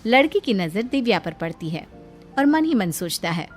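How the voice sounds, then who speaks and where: A woman's voice: medium pitch, very animated, normal speed, soft background music. A woman reading scripted narration as the storyteller of a moral tale.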